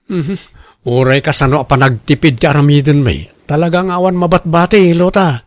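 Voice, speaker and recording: A man's voice: a little high, moderate pace, no echo, close to an everyday voice. A man playing a cash-strapped, defensive husband pleading for loans in an Ilocano radio drama, with an Ilocano accent. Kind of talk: Filipino radio drama acting.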